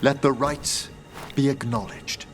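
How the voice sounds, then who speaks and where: An actor playing a learned, courtly necromancer mage in a video game, formal and musing, with precise theatrical diction. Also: male voice, medium pitch, steady tone, slow, music in the background, close to an everyday voice. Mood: reverent.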